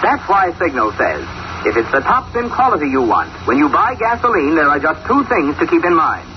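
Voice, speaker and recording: A dull vintage radio recording with clear noise carries a male announcer reading a sponsor's message. He is polished and emphatic, selling each point in old-time radio style. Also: a little high, big pitch swings, fairly quick. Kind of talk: 1940s scripted radio commercial.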